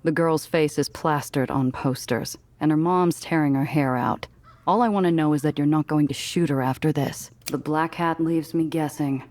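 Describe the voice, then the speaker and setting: A woman's voice: low voice, steady tone, moderate pace, darkish tone, natural voice. A woman playing a tough, guarded smuggler who narrates her own noir game story in a wry way. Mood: guarded, challenging.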